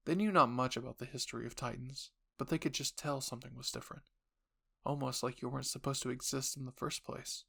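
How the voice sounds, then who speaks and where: A man's voice: mid-range voice, steady tone, brisk, gravelly, pushed and bright, husky. A man narrating a scripted second-person story as the host of a roleplay channel.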